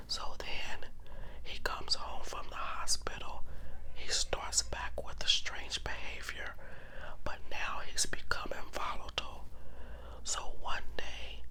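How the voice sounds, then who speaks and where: A storyteller of unclear sex whispering a true crime story for ASMR, in a hushed, deliberate, dramatic way. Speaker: ASMR storyteller, channel host.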